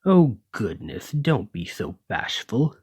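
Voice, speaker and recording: A haughty serpent nobleman, acted by a man for an ASMR roleplay, speaks at a slow, lordly drawl in a fairly low voice. His pompous, refined phrasing rises and falls widely as he condescends to and teases his servant. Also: very animated, a little mellow, husky, no room sound, clean studio sound, full character voice. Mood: haughty, condescending. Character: haughty, teasing male lamia noble, half-serpent employer. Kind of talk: ASMR roleplay, scripted monologue to listener.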